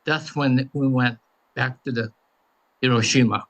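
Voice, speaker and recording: A man in his eighties from the United States giving a halting memorial speech in short phrases as a guest speaker. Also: mid-range voice, steady tone, a bit bright, muffled recording. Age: 80s.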